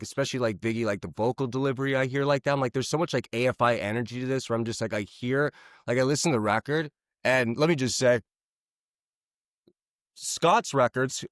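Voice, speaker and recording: A man's voice: medium pitch, quick pace, no room sound, clean studio sound. A young man from Canada hosting a podcast, chatting casually in a jokey way with offhand swearing. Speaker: podcast host. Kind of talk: casual interview chat.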